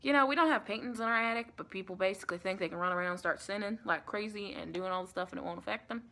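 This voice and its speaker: Creaky-voiced American woman as a sweet vampire. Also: mid-range voice, very fast, sharp tone. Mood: chatty, reassuring. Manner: chatty, rambling, reassuring, calls listener sweetie. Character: sweet, reassuring female vampire welcoming a new recruit. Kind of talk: ASMR roleplay.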